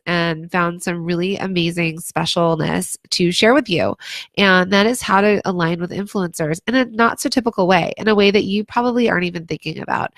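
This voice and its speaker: A hoarse woman consultant giving casual advice on a livestream, chatty, with lots of "like" and "um". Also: fairly low pitch, a bit bright, no room sound. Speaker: brand consultant.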